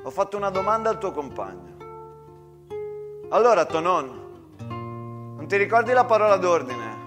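A man's voice: high-pitched, big pitch swings, unhurried, in a large hall, over music, pitched above the performer's own voice. A man playing a stern, rule-bound army captain who barks and interrogates in a theatrical war story. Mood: angry interrogation. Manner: barking, interrogating.

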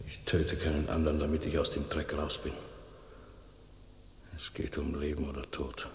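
Very deep, echoing, resolute man. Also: completely flat delivery, moderate pace, a little hiss or hum. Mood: grave refusal. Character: resolute man refusing to kill, heard in recollection. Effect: echo with long reverb. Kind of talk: 1950s German radio crime drama acting. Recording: reverberant, faintly humming old radio recording.

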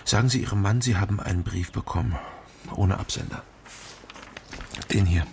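An actor playing a dry, terse East Berlin private detective in a naturalistic radio crime drama. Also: male voice, lowish voice, moderate pace, slight background noise, natural voice. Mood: calm, instructing.

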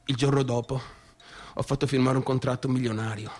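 An actor playing a cocky, rambling son of a provincial businessman in a radio theatre monologue, with a colloquial northeastern Italian accent and a repetitive, excitable delivery. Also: mid-range voice, a little fast, soft background music, close to an everyday voice. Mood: boastful. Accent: northeastern Italian (Veneto). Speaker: stage actor performing a monologue.

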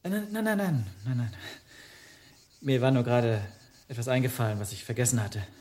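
An actor playing a nervous, polite foreigner under suspicion, hesitant and earnest, in a radio drama. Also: male voice, mid-range voice, brisk, a little mellow, long reverb tail, close to an everyday voice. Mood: evasive, flustered. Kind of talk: German radio drama acting.

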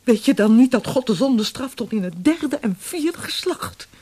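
A woman's voice: fairly high pitch, lively intonation, moderate pace, a little mellow, breathy edge. An actress playing a strict, pious middle-aged mother, solemn and pleading, in a radio drama. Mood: anguished, pleading. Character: strict, pious, grieving mother of a grown son.

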